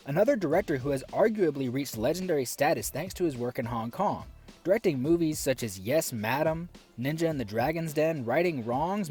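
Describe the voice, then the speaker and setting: Sarcastic, animated male film reviewer. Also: on the high side, slightly rough. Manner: sarcastic, exasperated asides. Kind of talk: scripted review commentary.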